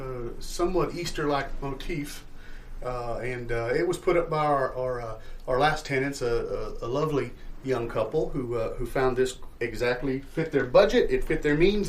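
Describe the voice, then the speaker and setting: A man playing an evasive, sales-hungry landlord in an improvised comedy sketch, with halting, stammering salesman patter full of euphemisms. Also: medium pitch, a little hiss or hum, lightly acted. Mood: coaxing. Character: evasive, sales-hungry male landlord showing shabby rental bungalow.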